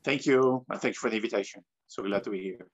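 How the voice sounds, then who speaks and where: A man from Tunisia answering interview questions conversationally as a guest, in halting, thoughtful phrases over an online call. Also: fairly low pitch, lo-fi sound. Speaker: guest, professional free diver.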